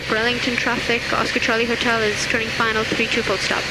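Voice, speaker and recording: A woman's voice: on the high side, level tone, fast. A woman working as a flight instructor, giving encouraging, reassuring hands-on directions during a flight. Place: inside small airplane cockpit in flight. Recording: loud aircraft engine noise, cockpit intercom.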